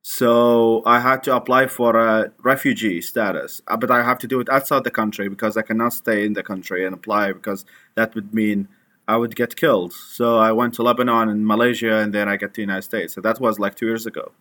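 Bright-voiced man in his twenties. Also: lowish voice, steady tone. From Iraq. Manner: halting, with many restarts and fillers. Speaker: guest, activist. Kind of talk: conversational interview.

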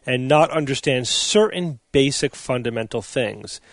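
A man's voice: medium pitch, expressive, unhurried, no echo, studio quality. A man hosting a talk show, steering the conversation thoughtfully. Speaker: podcast host. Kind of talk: casual chat.

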